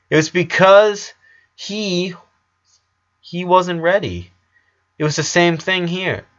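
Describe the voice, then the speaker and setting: Slow-paced man reading a story aloud. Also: a little high, muffled recording. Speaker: fan fiction narrator.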